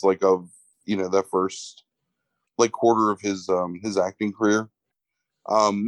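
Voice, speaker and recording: A young man, congested, describing a movie in a casual, halting way full of fillers. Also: lowish voice, dry recording, poor audio. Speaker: movie podcast co-host. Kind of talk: casual film review chat.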